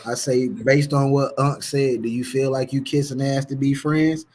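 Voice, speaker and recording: Husky-voiced man bantering as a co-host. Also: medium pitch, little pitch movement, moderate pace, a little mellow. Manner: joking, slangy, laughs easily. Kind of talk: casual livestream banter.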